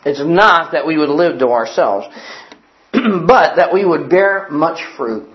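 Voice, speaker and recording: A man's voice: a little high, animated, takes it slowly. A man preaching a sermon in an earnest, emphatic way, repeating his key phrases and quoting old-fashioned scripture wording. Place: church building. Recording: echoey, dull lo-fi sound.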